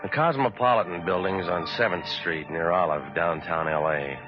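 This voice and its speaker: An American actor playing a cynical, wisecracking private detective in a 1940s radio drama, terse and deadpan. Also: male voice, low voice, normal speed, close to an everyday voice. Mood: dry, wry. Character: cynical wisecracking hard-boiled male private detective narrator. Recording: dull vintage radio recording with music.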